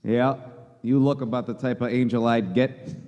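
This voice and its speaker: A man playing a despairing, sardonic everyman in a live radio play, speaking in halting, folksy stammers. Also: mid-range voice, steady tone, a little mellow, natural voice. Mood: bitter, disbelieving. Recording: hall reverb, music behind.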